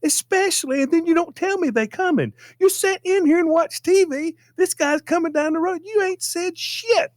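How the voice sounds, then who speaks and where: A man's voice: extremely high pitch, normal speed, hardly any pauses, dry recording. A man with a Southern American accent telling an anecdote casually in a folksy, repetitive, emphatic way. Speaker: storytelling show regular.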